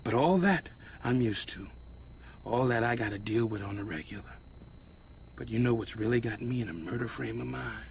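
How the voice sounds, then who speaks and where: An actor playing a vengeful crime boss and studio owner in a video game, threatening and taunting. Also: male voice, medium pitch, normal speed, vocal fry, natural voice. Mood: menacing. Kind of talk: video game cutscene acting.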